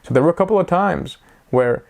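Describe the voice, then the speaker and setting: A man's voice: a little high, lively intonation, a little fast, vocal fry, darkish tone. A man in his twenties giving a casual, rambling talk to the camera, chatty and self-mocking. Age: 20s. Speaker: vlogger.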